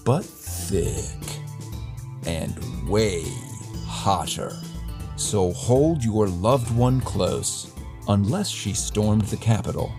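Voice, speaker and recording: A man playing a pompous, deadpan host of a satirical dystopian radio newscast, with florid, arch delivery. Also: on the low side, exaggerated voice. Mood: arch, theatrical. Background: music.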